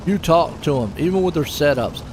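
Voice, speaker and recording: Casting note: chatty male sim race host, slightly high voice, loud engine noise behind him. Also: expressive, a little fast. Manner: chatty and folksy, jokes with his co-host. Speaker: race commentator and stream host. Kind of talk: casual sim racing commentary. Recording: noisy broadcast mix with engine noise.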